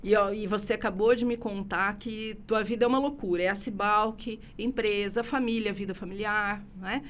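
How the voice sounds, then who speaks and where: A woman's voice: medium pitch, fairly even intonation, normal speed, a bit bright. A woman hosting an interview in a friendly, chatty way. She sometimes trips over her words as she asks her questions. A faint noise sits under her voice. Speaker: interview host. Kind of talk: casual interview conversation.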